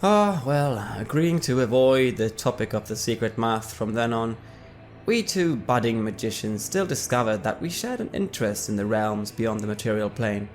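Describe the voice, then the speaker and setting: A man giving a dramatic first-person reading as his character, measured and wry, letting phrase endings trail off softly. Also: medium pitch, lively intonation, soft background music. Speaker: player reading character backstory.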